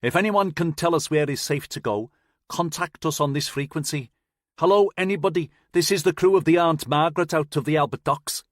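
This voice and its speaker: A man voicing a caller with a Liverpool accent, calling out loudly over the radio. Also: on the high side, fairly quick, no echo, studio quality, voice pushed up, lightly acted. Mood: urgent.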